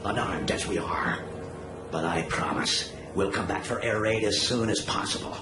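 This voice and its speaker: An actor playing a noble, steadfast robot commander, solemn and authoritative, in an animated action story with echo and music. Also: male voice, lowish voice, normal speed, natural voice. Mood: resolute. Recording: echoey, loud music behind.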